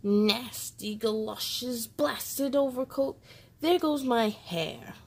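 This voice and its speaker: A woman's voice: fairly high pitch, slow, darkish tone. A woman voicing a grumpy, sour old man who grumbles curtly, in a storybook reading. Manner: grumbling, curt.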